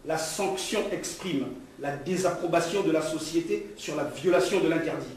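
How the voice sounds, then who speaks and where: A man's voice: a little high, normal speed, a little mellow. Distant, reverberant recording with some noise. Male political orator, Congolese accent, didactic and emphatic delivery. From Democratic Republic of the Congo. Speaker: political speaker, activist. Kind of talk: impassioned political speech to a gathering. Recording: distant, echoey room with background noise.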